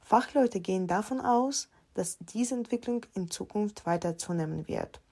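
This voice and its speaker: A woman narrating a report in a measured, informative, scripted way. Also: mid-range voice, animated, warm tone, husky.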